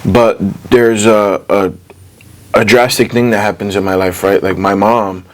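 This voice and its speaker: A man with a fairly low voice tells personal stories as a guest in an interview. His language is informal and streetwise, peppered with "you know," and the delivery is conversational. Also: level tone, unhurried. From born in the US, Puerto Rican, moved to Puerto Rico as a child. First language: English. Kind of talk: casual personal storytelling.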